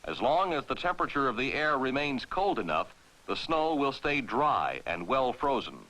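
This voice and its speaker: An American man narrating a 1960s military training film in a formal, authoritative, clearly enunciated way, on a vintage film soundtrack. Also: mid-range voice, sing-song, normal speed, bright, forceful tone, low-quality recording.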